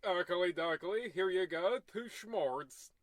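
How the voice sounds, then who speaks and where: A man's voice: sits high, takes it slowly, no echo. A man playing a cheery, folksy shopkeeper in a comedy radio play, gawking at the action.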